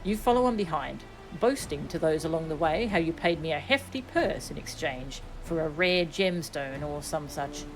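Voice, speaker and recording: A woman's voice: deep voice, animated, moderate pace, few pauses, rough recording. Formal, resolute young nobleman, voiced by a woman, music behind.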